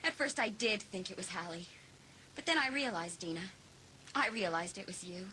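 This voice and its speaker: A woman's voice: mid-range voice, expressive, moderate pace, pushed and bright, a little hiss or hum, low-quality recording. A woman playing a determined teenage girl in a dramatised audiobook, speaking in a pointed, dramatic way. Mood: accusing, triumphant. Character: determined, accusing teenage girl confronting a treacherous friend.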